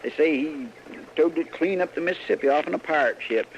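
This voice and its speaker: A man's voice: a little high, a little fast, lightly acted. A man playing a folksy, gullible cowboy sidekick with a rural Western American drawl, in a 1950s radio western. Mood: folksy, earnest. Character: folksy, gullible cowboy sidekick, mildly fretful tall-tale teller. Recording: muffled vintage radio recording, faint noise.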